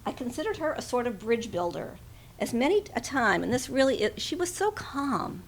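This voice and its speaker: A woman's voice: a little high, big pitch swings, moderate pace, slight background noise. A woman speaking at a memorial, reading a tribute aloud and adding informal personal asides.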